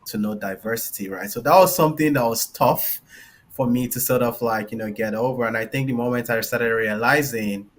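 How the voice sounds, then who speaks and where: A man's voice: mid-range voice, brisk. A man from Nigeria with a light Nigerian accent, a panelist telling a personal story in a loose, rambling way full of "like" and "right?". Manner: loose, filler-heavy, tags lines with "right?". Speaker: panelist, engineer and founder. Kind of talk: casual storytelling.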